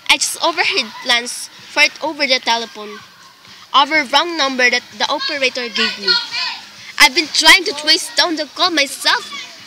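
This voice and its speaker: A woman acts out an anxious, demanding wife in a radio drama. Her voice is high and very sharp, insistent and indignant in delivery. Faint noise sits behind the recording. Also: rough recording. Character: anxious, imperious, exasperated invalid wife reporting a murder plot. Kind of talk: amateur radio play acting.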